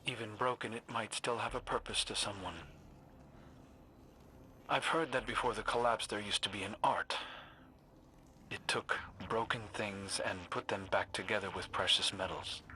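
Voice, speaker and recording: Low, bright-voiced brooding young guardian. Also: male voice, slight background noise. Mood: quietly hopeful. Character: gentle, brooding amnesiac reborn male guardian hunter. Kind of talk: dramatic video game cutscene acting.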